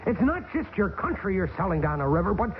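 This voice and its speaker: An actor playing a stranded air force lieutenant in an old radio drama, urgent and defiant. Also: male voice, sits high, very animated, fairly quick. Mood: urgent defiance. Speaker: lieutenant, lead character. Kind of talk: 1970s radio drama acting. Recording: tinny, hissy old radio broadcast.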